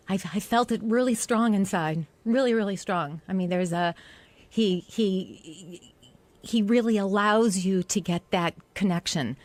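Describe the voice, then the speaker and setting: A woman's voice: lowish voice, dry recording. A woman speaking casually as a guest, earnest and effusive, a little shaky with emotion, repeating words for emphasis. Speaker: radio show guest and longtime fan. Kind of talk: casual emotional interview chat.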